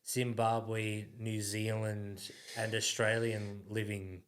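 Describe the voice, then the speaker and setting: Crude joking podcast host. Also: male voice, lowish voice, little pitch movement, slow pace. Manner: joking and crude, laughs often. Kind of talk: casual interview chat.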